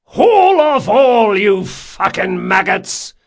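A man's voice: high voice, big pitch swings, gravelly, pitched above the performer's own voice, exaggerated voice. Man playing a hollering, cursing first mate. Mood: bullying, furious. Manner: drawn-out bellowed orders and insults. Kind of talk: audiobook character acting.

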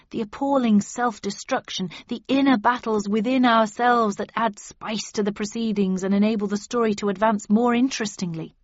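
A woman's voice: on the high side, dry recording, close to an everyday voice. Female narrator playing a brooding loner detective, man, earnest, protesting, rhetorical, storybook character, very dry slightly dull recording. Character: brooding male fictional detective, earnest and conflicted.

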